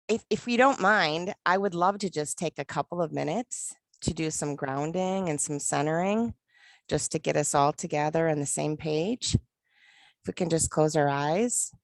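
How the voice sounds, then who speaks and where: Woman host, low voice, slow calm guiding, dry close sound, video call. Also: dry recording. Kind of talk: guided meditation and hosting.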